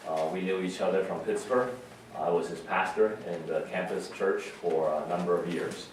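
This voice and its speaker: A man speaking as a pastor, giving a conversational memorial sermon in a halting, informal, reflective way. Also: deep voice. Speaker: pastor giving a funeral message. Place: large reverberant room at a memorial service. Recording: very echoey hall, distant, faint noise.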